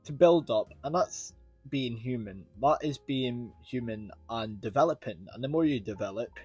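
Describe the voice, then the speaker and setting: A man's voice: mid-range voice, lively intonation, normal speed, dark tone, husky, soft background music. A man with a Scottish accent talking casually to his viewers as a YouTuber, in an earnest, rambling way that circles back over points. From Scotland. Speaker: YouTuber reviewing children's TV shows. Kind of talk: casual talk to viewers about own channel.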